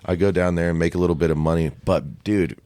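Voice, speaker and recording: Deep-voiced man from Ohio, very low. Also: quick pace, no room sound. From Ohio, USA. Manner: relaxed and chatty, peppers with "dude" and "right?". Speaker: guest singer-songwriter. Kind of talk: casual podcast conversation.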